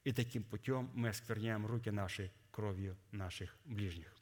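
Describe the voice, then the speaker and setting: Low-voiced man preaching, slightly hoarse. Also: a little mellow. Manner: didactic, formal, deliberate exposition. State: hoarse from a cold. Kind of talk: sermon with scripture readings and exposition.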